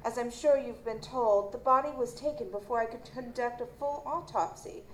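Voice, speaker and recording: A woman playing a guarded, formal chief medical examiner in an audio drama, measured and dismissive. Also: on the high side, natural voice. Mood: measured, evasive. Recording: roomy, noisy live stage recording.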